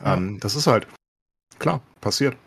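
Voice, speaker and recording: A man's voice: lowish voice, lively intonation, a little slow, gravelly, fairly bright tone, husky. A man explaining things in casual podcast chat, rambling and colloquial, dropping in English slang. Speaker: podcast co-host.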